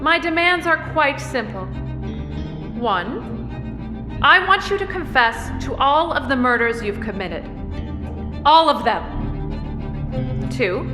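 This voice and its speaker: An actress playing a vengeful, triumphant woman in an audio drama, dictating terms to her enemy in a taunting, theatrical way. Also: fairly high pitch. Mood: demanding. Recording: echoing long reverb over music.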